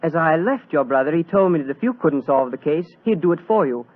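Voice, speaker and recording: Man playing earnest young gentleman, polite, rapid speech, tinny old radio drama. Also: fairly high pitch, animated, lightly acted. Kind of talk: 1940s radio acting.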